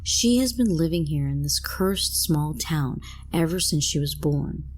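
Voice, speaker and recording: A woman's voice: low voice, expressive, a little slow. A woman reading a scripted first-person horror story as a narrator.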